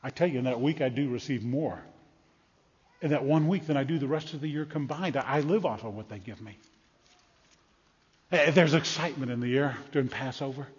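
Man acting out a high-pitched excitable blind beggar, echoey hall. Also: slightly rough. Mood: earnest, warm excitement. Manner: halting, repeating, dramatic swings from hushed to shouting. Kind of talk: first-person dramatic monologue, live storytelling. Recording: echoey large hall, slightly muffled.

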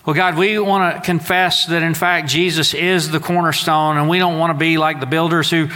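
A man's voice: fairly high pitch, level tone, moderate pace, pushed and bright. A man in his forties from Southeast Texas, preaching a sermon to his church in a folksy, conversational way. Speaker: pastor. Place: church sanctuary. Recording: long reverb of a church sanctuary.

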